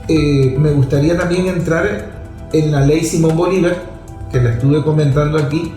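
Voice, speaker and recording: A man's voice: on the high side, steady tone, normal speed, dark tone, roomy sound, faint music behind. A man with a Venezuelan accent hosting a radio talk show, speaking emphatically and teasing his guest. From Venezuela, Zulia. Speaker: radio host. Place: radio studio.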